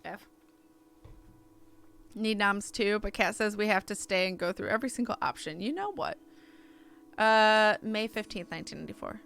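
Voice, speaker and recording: A woman's voice: mid-range voice, normal speed, gravelly, long pauses. A woman live-streaming a game, reading story text aloud expressively and chatting casually in between. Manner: expressive reading mixed with chatty, self-interrupting reactions. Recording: music behind the voice. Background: game music.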